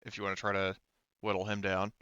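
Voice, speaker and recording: A man's voice: deep voice, completely flat delivery, quick pace, pushed and bright, smooth, no echo. A man talking casually and informally as game master of a tabletop role-playing game, thinking through rules aloud, with slightly muffled audio. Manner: informal, occasionally swearing. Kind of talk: casual game-running chat over rules and dice.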